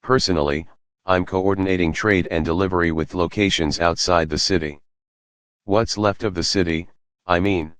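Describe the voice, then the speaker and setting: An actor playing a male colonist who handles trade in a video game, talking in a measured, matter-of-fact way. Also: low-pitched, fairly even intonation, smooth, no room sound, close to an everyday voice. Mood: grim, businesslike. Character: male colonist coordinating trade for a stricken city.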